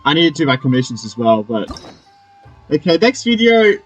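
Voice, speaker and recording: A man's voice: fairly high pitch, very animated, normal speed, husky, rough recording. A young man giving casual let's-play commentary, rambling and often trailing off. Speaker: gaming YouTuber. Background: game music.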